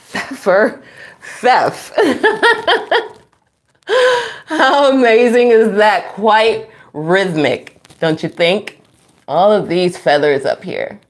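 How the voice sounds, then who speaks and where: Very animated, slow-paced woman reading to children. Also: medium pitch. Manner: animated, exclaiming, sing-song emphasis; addresses young listeners with questions. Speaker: children's storytime host. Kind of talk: read-aloud to young children. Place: small room at home, indoors.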